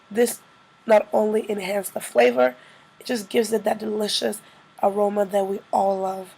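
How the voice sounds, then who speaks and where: A woman with a slightly hoarse, congested-by-a-cold voice, narrating a casual cooking tutorial as a vlogger. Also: mid-range voice, a little mellow, rough recording.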